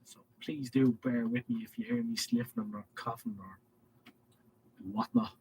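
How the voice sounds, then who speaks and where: A man's voice: mid-range voice, very animated, measured pace, gravelly, darkish tone, slight background noise. A man with an Irish accent and a head cold, hosting a casual live stream and reading out viewer comments in a rambling way. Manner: rambling, reads chat messages aloud.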